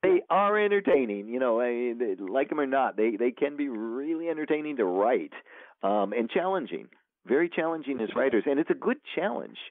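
Author guest, male, rambling and animated, heard over a tinny phone line. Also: mid-range voice, normal speed, no echo. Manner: rambling, many fillers and restarts. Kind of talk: casual interview chat.

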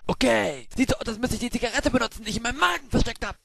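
Cocky, crude cartoon spy soldier played by a man, high and swoopy, noisy amateur dub. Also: lively intonation, racing, creaky, exaggerated voice. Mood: annoyed. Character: cocky, crude, whiny male secret soldier in a parody cartoon. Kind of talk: exaggerated cartoon parody dub.

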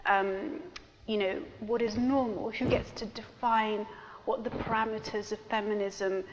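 A woman's voice: medium pitch, fairly even intonation, unhurried, long reverb tail, dull audio, background noise. A woman speaking on a panel, searching for words with many fillers and restarts. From Pakistani heritage. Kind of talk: panel discussion.

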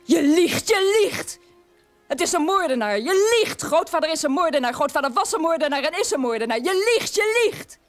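A woman's voice: extremely high pitch, very animated, moderate pace, dry recording, faint music behind, voice pushed up. A woman voicing a bitter, agitated teenage son who accuses his parent, in a radio play. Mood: screaming, distraught.

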